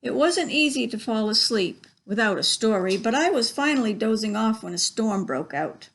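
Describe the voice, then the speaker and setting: A woman reading a children's story expressively, with chatty asides to young listeners about the pictures. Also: a little high. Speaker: library storytime reader. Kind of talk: picture-book read-aloud with chatty asides.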